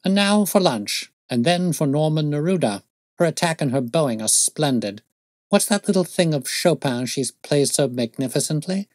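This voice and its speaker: A man voicing a brilliant, impatient gentleman detective in an audiobook story, speaking in a brisk, commanding, articulate way. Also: a little high, lively intonation, dry recording, studio quality, voice pushed up, lightly acted. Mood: excited, triumphant.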